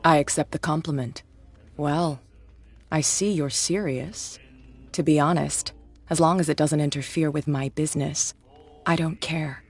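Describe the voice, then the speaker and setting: A man voicing a tough, shrewd male gang boss who talks in a calm, threatening, businesslike way. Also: on the high side, a little slow, soft background music. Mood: bargaining. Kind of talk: video game voice acting.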